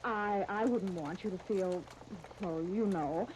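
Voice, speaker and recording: An actress playing an eager young would-be dress designer in a 1930s radio soap, effusive, breezy and full of flattery. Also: female voice, mid-range voice, takes it slowly, natural voice. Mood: breezy, coy. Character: gushing, ingratiating, eager young woman aspiring dress designer. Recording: dull, crackly 1939 radio disc.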